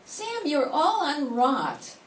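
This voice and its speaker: A chirpy, teasing secretary, played by an older woman in an old-time radio drama. The voice is high and bright and sounds far off in an echoey room. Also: huge pitch swings, slow, a little hiss or hum, full character voice. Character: chirpy female detective's secretary, fond and teasing. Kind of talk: 1940s radio acting.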